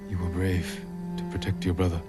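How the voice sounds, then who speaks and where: An actor playing a stoic, resolute samurai in a video game, speaking in a measured, grave, commanding way. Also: male voice, deep voice, monotone, natural voice. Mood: grave, steady. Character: stoic, resolute samurai man leading a town's defence. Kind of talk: dramatic game cutscene dialogue. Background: music.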